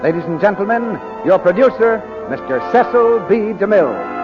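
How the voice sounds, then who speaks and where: A man's voice: high-pitched, animated, moderate pace. A man announcing a 1940s radio show in a grand, formal style. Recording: muffled 1940s radio broadcast. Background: music.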